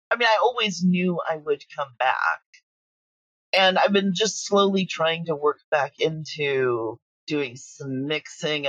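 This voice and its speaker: A woman talking casually as an interview guest, telling stories in an animated way with quoted asides. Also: low voice, husky, no room sound, highs cut off. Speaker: audio drama creator, interview guest.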